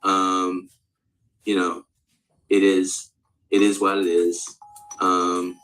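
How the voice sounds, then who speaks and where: A male speaker of around forty from Australia, practising an American accent in a rambling, halting, candid and sweary casual monologue. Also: low voice, monotone, fairly bright tone, rough recording. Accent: American, put on over native Australian. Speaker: actor introducing themself.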